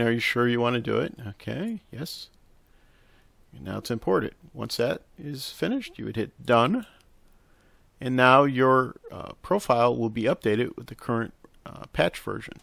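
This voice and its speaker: A man narrating a casual software tutorial, explaining step by step in an instructional way with hesitant pauses. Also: mid-range voice, vocal fry, dry recording. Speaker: tutorial host. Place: close, dry indoor voice-over for a screen recording.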